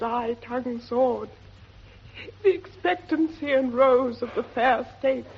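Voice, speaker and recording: An actress playing a gentle, grief-stricken young noblewoman in 1940s British radio Shakespeare, speaking plaintive verse in Received Pronunciation. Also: high voice, breathy edge, full character voice. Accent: English Received Pronunciation. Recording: dull 1940s radio recording.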